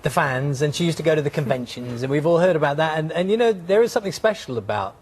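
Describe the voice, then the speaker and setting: A man's voice: fairly high pitch, animated, quick pace, breathy edge. A man conducting a conversational interview, chatty and often ending statements with tag questions.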